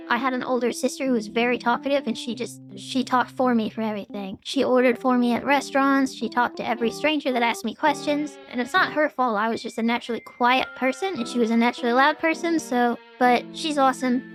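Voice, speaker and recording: Gravelly woman streamer, kinda high voice, lots of ums, soft music under it. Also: fairly even intonation, fairly quick, few pauses. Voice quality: gravelly, strained. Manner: rambling with many fillers and restarts. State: throat getting sore. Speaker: VTuber. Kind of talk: casual Q&A vlog.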